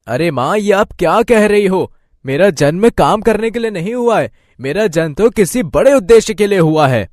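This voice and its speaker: A man as an excitable, boastful young village idler. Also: high voice, normal speed, no room sound, studio quality, pitched above the performer's own voice. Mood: boastful, indignant. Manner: whiny, self-important, exclaiming. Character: idle, boastful, naive young village son. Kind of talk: cartoon moral story voice acting.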